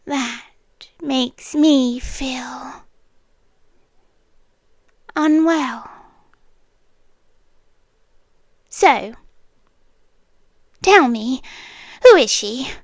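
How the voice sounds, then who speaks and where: A British woman playing a possessive, doting and controlling yandere landlady in an intimate ASMR roleplay, breathy and coaxing, often dropping to a whisper. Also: sits high, extremely slow, pauses often, no room sound, clean studio sound, exaggerated voice. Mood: jealous, menacing. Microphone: close ASMR microphone, dry.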